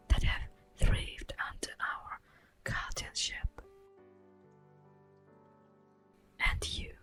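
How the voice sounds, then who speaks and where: A woman playing a solemn, tender elf guardian of the forest in a breathy, whispered ASMR roleplay, speaking formally and poetically. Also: natural voice. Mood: solemn, grave. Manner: slow, formal, poetic, drawn-out delivery.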